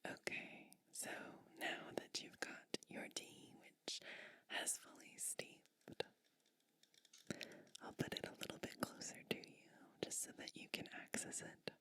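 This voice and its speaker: A woman playing a kindly sleep witch in an ASMR roleplay, speaking in a soft, breathy, soothing whisper. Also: dry recording. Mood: gentle, soothing. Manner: slow, soothing, gently attentive. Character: kindly sleep witch giving bedtime pampering. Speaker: ASMR host.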